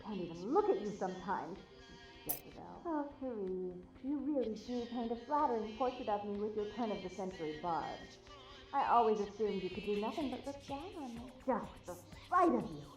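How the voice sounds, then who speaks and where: A woman acts out feuding vampire sisters for a video game, venomous and theatrical. The voice sits high and swings dramatically. It sounds distant and echoey, with music behind. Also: lightly acted. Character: two feuding vampire sisters, one scolding and prudish, one taunting.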